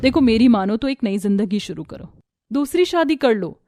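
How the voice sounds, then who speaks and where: A woman narrator plays a sensible elder sister-in-law from a domestic storybook tale. She speaks earnestly and protectively, in a fairly high, dry voice, reasoning and reassuring. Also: big pitch swings, moderate pace, mellow, no room sound.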